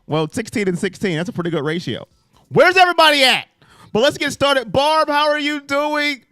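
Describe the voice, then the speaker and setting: A man hosting a live sports stream, chatting and teasing his viewers, his voice hoarse from yelling. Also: very high voice, normal speed, no echo, studio quality. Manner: animated, teasing chat with viewers. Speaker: sports livestream host. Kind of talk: live fan pregame chat.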